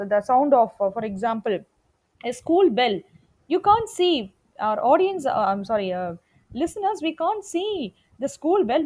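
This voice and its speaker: A woman's voice: high voice, darkish tone. A woman giving an explanatory lecture, emphatic and repeating her key points as she teaches. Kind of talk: explanatory lecture on media production.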